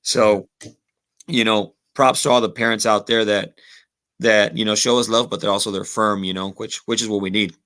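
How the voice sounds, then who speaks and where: A man's voice: fairly low pitch, steady tone, normal speed, fairly bright tone. A man in his thirties with a Rio Grande Valley accent, talking reflectively as a podcast guest with lots of fillers and Spanish phrases mixed in. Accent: Texas Rio Grande Valley. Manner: reflective, many "you know" fillers, slips into Spanish phrases. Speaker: podcast guest, former college football kicker. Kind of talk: casual podcast conversation.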